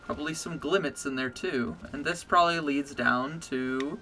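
A man with a bass voice streaming a game, chatting casually and getting excited or pleading with the game as he plays. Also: normal speed, faint music behind, rough recording. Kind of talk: casual gameplay commentary. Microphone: desk mic with webcam.

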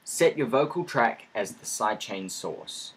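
A man presenting a software tutorial in a step-by-step narration. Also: fairly low pitch, expressive, measured pace, slight background noise. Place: indoor voice-over for screen recording.

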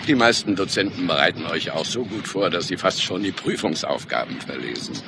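Very deep, gravelly police chief. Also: male voice, lively intonation, brisk, background noise. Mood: gruff, matter-of-fact. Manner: sardonic, authoritative. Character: gruff, sceptical senior police detective, defensive of the force. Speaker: actor in a radio crime drama. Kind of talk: German radio play dialogue.

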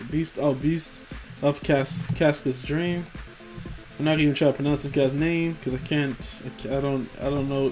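Tired, swearing young man vlogger. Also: a little high. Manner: rambling, profane, stumbles over names. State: tired after work. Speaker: figure collecting vlogger. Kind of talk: casual commentary over screen images. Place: home room.

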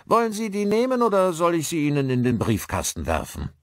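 A friendly village postman acted out by a male narrator chats in a raised, lilting voice. He is brisk and helpful, like a character in an audiobook mystery. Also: on the high side, wildly swooping pitch, no echo, studio quality, pitched above the performer's own voice. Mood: cheerful, helpful.

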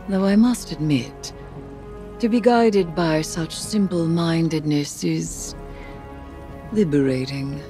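A brooding sorceress out of a dark fantasy game. Her low voice moves slowly and formally, weighing each word with solemn defiance. Music sits behind her. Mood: haughty, menacing. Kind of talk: theatrical video game dialogue.

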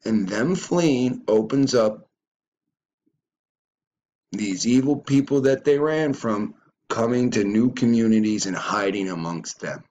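A man's voice: on the low side, slow pace, a little mellow, dull audio. A man giving a ranting reaction commentary on a video, stumbling and repeating words as he goes.

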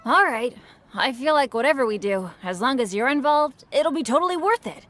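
A woman voicing an unlucky, self-effacing teenage boy adventurer in a video game, earnest and hesitant, quick to get excited. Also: high-pitched. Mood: self-deprecating, hesitant. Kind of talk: English video game character dialogue. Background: game music.

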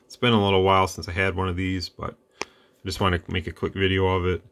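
A man hosting a casual radio demo at his workbench, talking in a relaxed, plain-spoken way with rambling asides. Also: low-pitched, quick pace, a little hiss or hum. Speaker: radio repair channel host.

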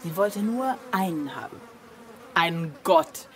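An actress in a radio play voicing a female digital device, measured, reflective and a little detached. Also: lowish voice, expressive, slight background noise. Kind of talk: German radio play acting.

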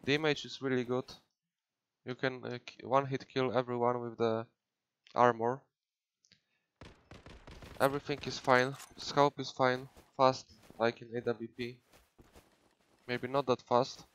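A man's voice: medium pitch, level tone, unhurried, long pauses, a bit bright, clear, resonant tone. A young man from Poland with a Polish accent, casually commenting on his gameplay live from a home room into a headset mic, with many fillers. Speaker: gaming streamer.

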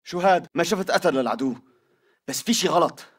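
A man's voice: a little high, animated, fast, husky. An actor playing a suspicious male militant on guard in a video game, with terse lines to comrades in Levantine Arabic. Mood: suspicious, uneasy. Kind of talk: video game character dialogue.

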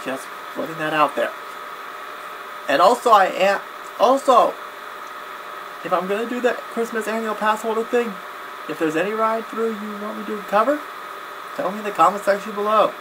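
A young man talking casually to camera in a halting, rambling vlog style, often backtracking and repeating himself. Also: sits high. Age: young adult. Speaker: video blogger. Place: small cluttered home room, indoors. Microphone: webcam at desk distance. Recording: clear background noise, webcam-grade audio.

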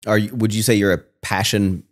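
A man with a somewhat low voice hosts a podcast and speaks into a studio microphone. His questions come loose and chatty, packed with "like" and restarts. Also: flat delivery, a little fast. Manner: conversational, acts out quoted dialogue, many "like". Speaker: podcast host. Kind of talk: casual interview chat. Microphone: studio mic with headphones.

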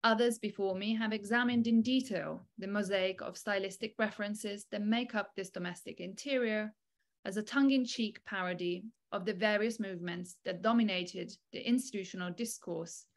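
A woman in her thirties reading a formal academic lecture in a measured, articulate way over a video call. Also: mid-range voice. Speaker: academic keynote speaker. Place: small indoor room, online video call. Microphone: video call microphone.

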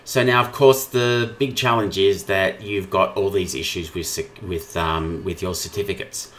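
A man with a low-pitched voice presents a software tutorial. He explains each step informally, as a trainer talking through a screen share. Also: steady tone, unhurried, slight background noise.

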